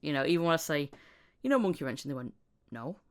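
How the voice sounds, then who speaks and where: A woman's voice: deep voice, sing-song, fast talker. A woman hosting a casual interview podcast, chatting informally. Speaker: podcast host, amateur voice actor.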